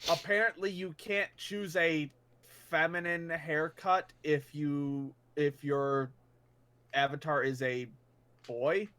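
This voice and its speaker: A young man in a casual gaming chat, speaking slowly with blunt, crude humor. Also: a little high, steady tone, pushed and bright. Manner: slow, drawn-out delivery, blunt crude jokes. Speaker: podcast co-host.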